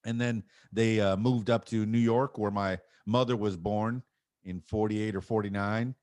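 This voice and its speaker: A man's voice: lowish voice, steady tone, a little slow, darkish tone, dry recording, studio quality. A man in his fifties from Miami, a podcast guest who talks in a thoughtful, wry way with self-mocking jokes. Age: 50s. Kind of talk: casual interview chat.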